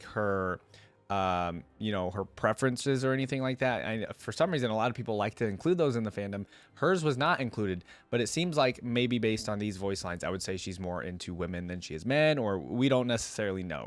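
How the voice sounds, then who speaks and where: A man's voice: on the low side, no room sound. Chatty man reacting, dry close sound. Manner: chatty, rambling, thinking aloud. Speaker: reaction video host. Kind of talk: casual reaction commentary.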